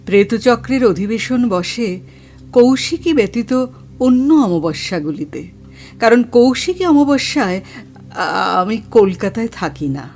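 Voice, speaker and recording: A dignified woman who reads horoscopes and summons spirits. She speaks slowly in formal, solemn phrases, with music under her voice, as in a horror radio play. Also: fairly high pitch, animated, darkish tone. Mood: grave, cautioning. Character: grave, dignified female astrologer and spirit medium. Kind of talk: Bengali horror audio drama acting.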